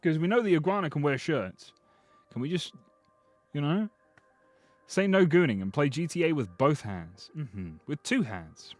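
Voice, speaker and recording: Joking man streaming, slightly hoarse. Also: fairly high pitch, expressive, normal speed, pauses often, no room sound, soft background music. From Britain. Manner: joking, rambling, swears freely. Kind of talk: casual livestream commentary.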